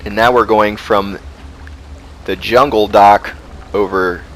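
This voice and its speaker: A man's voice: fairly low pitch, steady tone, slow pace, a bit bright, faint music behind. A man narrating a video game walkthrough as a guide. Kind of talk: walkthrough commentary.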